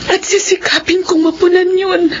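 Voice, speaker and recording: A woman's voice: very high voice, little pitch movement, moderate pace. An actress playing a loving, determined middle-aged mother in a Filipino radio drama, earnest and emotional, with a Filipino accent. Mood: resolute, tender. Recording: dull, noisy radio drama audio.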